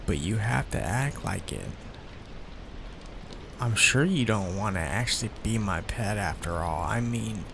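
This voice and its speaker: A man plays an awkward, devoted young mafia heir in an audio roleplay, slow and gravelly, his pitch rising and dipping a lot as he fumbles for words. Steady rain patters clearly behind him. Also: a little hiss or hum. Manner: hesitant and sheepish, with fillers and restarts. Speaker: ASMR roleplay voice actor. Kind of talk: ASMR roleplay.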